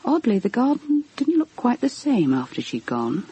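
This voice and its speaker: A woman's voice: on the high side, wildly swooping pitch, normal speed, warm tone, husky, exaggerated voice. An actress playing an inquisitive, sensitive, genteel woman in a radio drama, speaking in articulate, earnest, literary phrases. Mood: reflective. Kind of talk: radio drama narration and dialogue.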